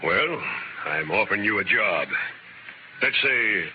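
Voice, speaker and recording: An actor playing a domineering, self-important middle-aged cattle baron, blustering and imperious, in 1950s radio western style. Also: male voice, on the low side, animated, slow pace. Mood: smugly persuasive. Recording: dull, noisy old radio recording.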